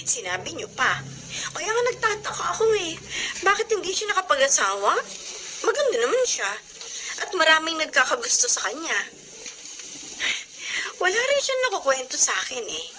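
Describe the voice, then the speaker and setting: A woman's voice: high-pitched, huge pitch swings, normal speed, background noise. An actress playing a cheerful, chatty young woman just out of college. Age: young adult.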